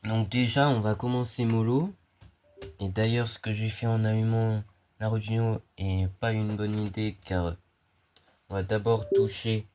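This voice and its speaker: Young man, stuffy with a cold, slow hesitant delivery, lots of fillers, slangy casual tutorial, mellow dark voice. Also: on the low side, a little hiss or hum. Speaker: hobbyist electronics youtuber. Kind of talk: casual screen-recorded tutorial.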